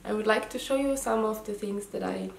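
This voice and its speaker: A woman's voice: mid-range voice, fairly quick, gravelly. Austrian woman, native german speaker, hosting her knitting vlog, lots of ums, echoey living room. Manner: hesitant, many ums and restarts. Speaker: knitting podcast host. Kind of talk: casual chat to camera. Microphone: camera mic, head and shoulders framing.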